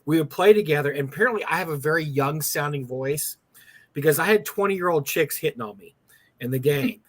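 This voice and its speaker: Male cohost, 60s, slightly higher voice, rambling casual storyteller. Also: brisk, a bit bright. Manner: rambling, repeats words when starting. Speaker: podcast co-host. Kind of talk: casual chat.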